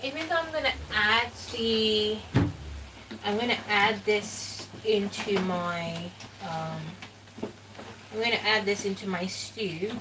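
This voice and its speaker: Slow-talking woman from Ghana, cooking. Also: medium pitch. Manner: chatty, thinking aloud while cooking. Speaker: cooking vlogger. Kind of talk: casual cooking commentary. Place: home kitchen. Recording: distant mic with kitchen noise.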